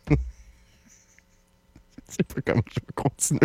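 A man's voice: slow pace, mellow, dry recording. A man with a Québécois French accent hosting a late-night call-in radio show, joking and laughing often between remarks. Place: radio studio. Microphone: studio microphone.

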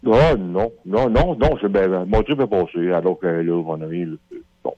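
A Québécois man in his thirties rambles into a telephone handset, hesitant and full of fillers. His low voice sounds husky, and the line comes through dull and muffled. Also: moderate pace, no room sound. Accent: Québécois French. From Quebec. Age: 30s. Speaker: radio caller. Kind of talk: casual phone-in chat.

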